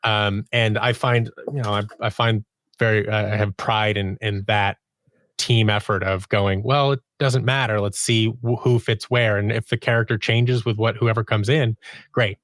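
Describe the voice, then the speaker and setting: A man speaking as a guest writer and producer in a casual interview, haltingly thinking aloud and restarting his phrases. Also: fairly low pitch, steady tone, fairly bright tone, no echo. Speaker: podcast guest, audio drama writer and producer.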